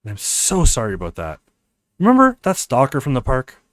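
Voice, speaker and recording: Man voicing an earnest, animated young college guy. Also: medium pitch, a little fast, dry recording, clean studio sound. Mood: reassuring. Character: earnest, reassuring young male college student.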